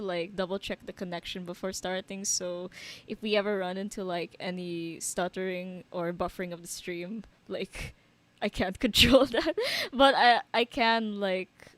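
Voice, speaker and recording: A woman streaming a video game, chatting casually and slangily with her viewers and laughing often between sentences. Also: medium pitch. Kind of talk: casual live-stream chat.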